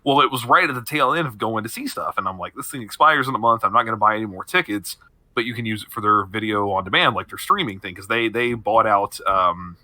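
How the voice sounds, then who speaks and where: A man's voice: lowish voice, fast, pushed and bright, no room sound. A man co-hosting a podcast, rambling through casual banter and laughing often. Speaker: podcast co-host.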